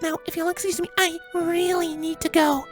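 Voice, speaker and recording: A woman's voice: very high voice, level tone, moderate pace, faint music behind. A woman voicing an anxious, guarded young female pony in dramatized story dialogue. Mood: nervous, hurried. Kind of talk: audio drama character dialogue.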